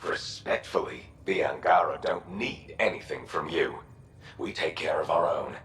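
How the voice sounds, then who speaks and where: Deep, stern alien commander. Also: male voice, unhurried, fairly bright tone, echoey room, a little hiss or hum. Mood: indignant. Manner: curt, commanding, guarded. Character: stern, proud, distrustful male alien resistance commander. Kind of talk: video game cutscene acting.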